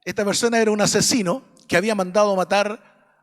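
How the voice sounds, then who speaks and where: A man's voice: high voice, steady tone, fairly quick, big-room sound. A man with a Chilean accent teaching an adult Sunday school class, earnest and preachy, often checking that listeners agree. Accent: Chilean Spanish. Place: church classroom.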